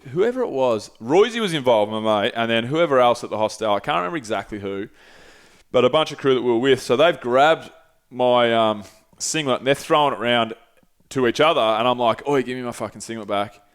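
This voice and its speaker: Brief: podcast host, 30s, loose storyteller, salty language, small studio. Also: male voice, mid-range voice, moderate pace, clear, resonant tone. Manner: animated, swearing, gesturing. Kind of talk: casual storytelling. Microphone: headset with desk mic.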